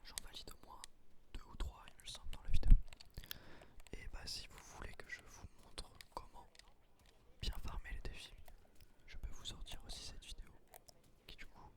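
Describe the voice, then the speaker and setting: A man whispering a gameplay commentary in ASMR style, explaining things in an unhurried, hesitant way. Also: a bit bright. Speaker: gaming video creator.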